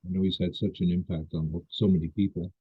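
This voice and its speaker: A man's voice: sits low, steady tone, quick pace, breathy edge. An older man interviewing a guest, polite and hesitant, often correcting himself mid-sentence. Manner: polite, hesitant, apologetic, restarts phrases. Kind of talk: interview questions. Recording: tinny phone-like line.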